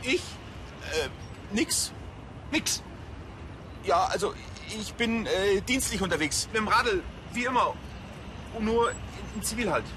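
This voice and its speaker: A man's voice: high voice, animated, a little slow, long pauses, background noise. An actor playing a nervous, hapless junior policeman, hesitant and easily flustered.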